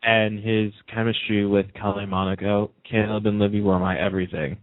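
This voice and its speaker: A man with a fairly low voice chats casually as a radio guest. He comes through a narrow, muffled telephone line with a dry sound. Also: flat delivery, fairly quick, no echo. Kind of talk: casual chat.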